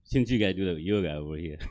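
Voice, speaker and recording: A man's voice: fairly low pitch, warm tone. A middle-aged man from Nepal with a Nepali accent, doing a stand-up set on a comedy theater stage in simple, broken English and keeps checking in with the crowd.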